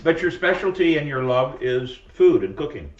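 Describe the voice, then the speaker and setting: A man's voice: on the high side, very animated, normal speed. A man hosting a podcast, talking casually in a rambling, self-correcting, jokey way. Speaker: podcast host. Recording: roomy, distant, dull lo-fi sound.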